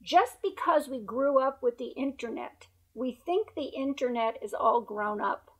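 A hoarse woman who has lost her voice, speaking emphatically as a researcher guest on a video call interview, through earbuds. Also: sits high, animated, unhurried, poor audio. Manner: emphatic, repeats points for stress. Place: bright room at home by a window. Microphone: wired earbuds on a video call.

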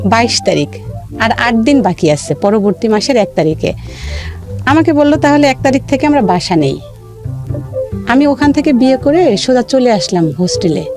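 A woman with a Bangladeshi accent telling her own life story in an interview, in a halting, detailed way with repeated phrases. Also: high-pitched, sing-song. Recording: music playing behind the voice. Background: background music.

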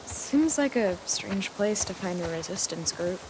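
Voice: mid-range voice, animated, a little slow, noisy background. A performer playing a skeptical, wry teenage companion in a survival game, dry and doubtful. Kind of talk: naturalistic game acting.

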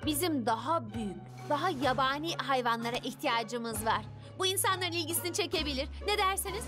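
Tiz sesli, hevesli genç kız lider. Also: female voice, high voice, animated, fairly quick, music in the background. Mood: persuasive. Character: upbeat, confident, enthusiastic teenage girl leader. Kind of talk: animated cartoon dub acting.

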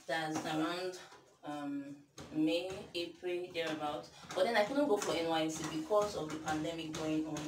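Young woman, Nigerian accent, casual baking vlog, lowish voice, roomy tiled kitchen, distant camera mic. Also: normal speed. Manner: chatty, self-deprecating, talks while working. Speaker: baking vlogger. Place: small tiled home kitchen, indoors. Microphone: camera mic from across the kitchen. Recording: echoey kitchen from far off, noisy.

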